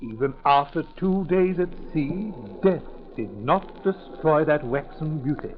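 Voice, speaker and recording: Courteous male ship's officer, higher-pitched, formal, measured and hesitant, vintage 1940s drama, narrow tinny sound. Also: animated, faint music behind. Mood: solemn. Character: courteous, businesslike male ship's officer showing a grim find. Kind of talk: 1940s radio acting. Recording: tinny, hissy 1940s radio recording.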